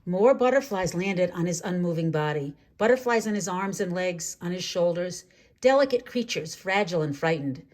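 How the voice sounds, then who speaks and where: Woman reading a storybook with lively pitch swings. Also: moderate pace. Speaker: storybook reader. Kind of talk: read-aloud children's story narration with asides.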